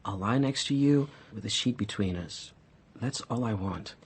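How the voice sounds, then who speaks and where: A man's voice: fairly low pitch, normal speed, husky. An actor in a radio drama playing a weary, idealistic young male outsider with an earnest, indignant manner. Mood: weary, reluctant.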